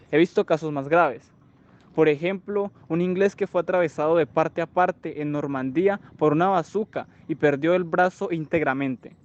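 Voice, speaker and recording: Man playing a high-voiced, self-assured wartime doctor, muffled. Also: a little mellow, lightly acted. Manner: clinical, formal, matter-of-fact. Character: pedantic wartime doctor, male, matter-of-fact and self-assured. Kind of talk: literary radio drama monologue.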